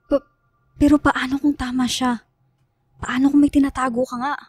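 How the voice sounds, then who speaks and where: A woman's voice: high-pitched, flat delivery, normal speed, husky, no echo. A Filipino actress playing a worried, loyal young woman friend, anxious and questioning, in a radio horror drama.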